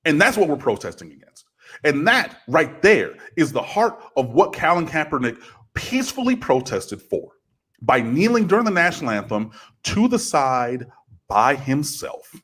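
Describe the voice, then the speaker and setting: A man's voice: fairly high pitch, sing-song, normal speed, fairly bright tone, slightly rough. A man with a big voice talking earnestly on a podcast, growing impassioned as he speaks. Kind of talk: casual talk.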